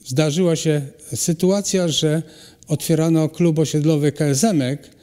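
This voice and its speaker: A middle-aged man, a ceramic artist, answering interview questions in a halting way with many pauses and restarts. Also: on the high side, steady tone, dark tone. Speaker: ceramic artist and cultural animator, guest. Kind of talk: hesitant conversational interview answers. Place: hall at a cultural centre. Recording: long reverb of a hall.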